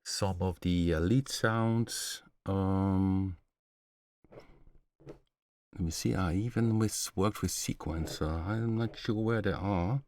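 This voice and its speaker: Deep-voiced man demoing synths. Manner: relaxed, halting, many fillers, slightly non-native phrasing. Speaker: synth developer hosting a live stream. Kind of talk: casual live-stream demo. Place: home studio, indoors.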